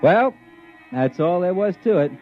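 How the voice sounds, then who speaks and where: A man's voice: sits high, animated, unhurried. A man narrating his own story in a folksy, rambling way for a 1950s radio drama. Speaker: narrator playing himself. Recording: dull old radio broadcast with music.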